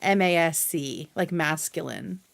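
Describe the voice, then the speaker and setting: A woman hosting a livestream, giving casual, conversational reaction commentary with animated emphasis. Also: low voice, a little slow, gravelly.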